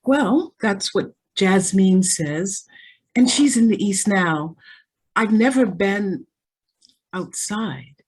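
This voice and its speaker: A woman's voice: mid-range voice, expressive, slow pace, slightly rough. An actress playing a naive, self-assured and sheltered teenage rich girl in an old-time radio drama, speaking with prim artlessness. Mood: friendly, curious.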